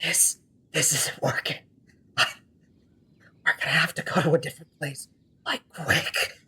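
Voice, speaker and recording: A woman's voice: low-pitched, fairly even intonation, measured pace, sharp tone, husky. A woman streamer improvising fan-dub commentary over a game, sarcastic and rambling.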